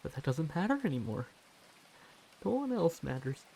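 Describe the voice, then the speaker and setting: A man voicing a clingy, devoted stray catboy in an ASMR roleplay, pleading and submissive, in halting phrases. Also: a little high, very animated, gravelly, a little hiss or hum. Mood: adoring, obsessive. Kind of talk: ASMR roleplay monologue addressed to the listener. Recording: loud music and rain behind. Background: music and rain.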